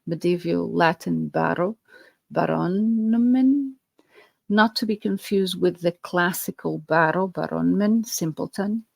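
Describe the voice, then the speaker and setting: Slow, gravelly Portuguese-accented woman. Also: on the low side, no room sound. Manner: earnest, explanatory, with fillers and restarts. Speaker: podcast host. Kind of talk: podcast monologue.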